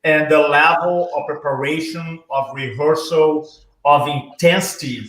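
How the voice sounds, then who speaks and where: A man's voice: a little high, fairly even intonation, fairly bright tone. Enthusiastic man in an echoey room. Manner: enthusiastic, praising, halting with "uh" fillers. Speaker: speaking coach and host. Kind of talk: interview over video call.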